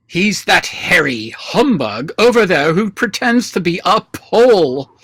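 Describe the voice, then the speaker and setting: Pompous male anarchist president played by an amateur actor, commanding and scornful, swinging widely in pitch, close dry sound. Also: sing-song, unhurried, fairly bright tone, breathy edge, dry recording, exaggerated voice. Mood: accusing. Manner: pompous, commanding, drily mocking. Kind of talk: radio play read aloud. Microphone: video call.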